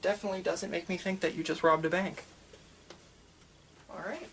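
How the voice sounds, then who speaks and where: A person doing a casual ASMR roleplay as a shop worker, chatting in a rambling, halting, enthusiastic way. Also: medium pitch, steady tone, a bit bright, slight background noise. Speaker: manga store worker in a roleplay. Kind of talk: ASMR roleplay, casual customer-service chat.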